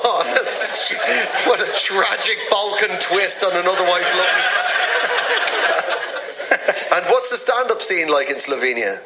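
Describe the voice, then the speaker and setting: A man's voice: sits high, lively intonation, very noisy. A man with an Irish accent hosting a radio comedy show from a theatre, joking and chatting with a guest. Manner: playful, quick to joke and laugh. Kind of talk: chatty comic interview.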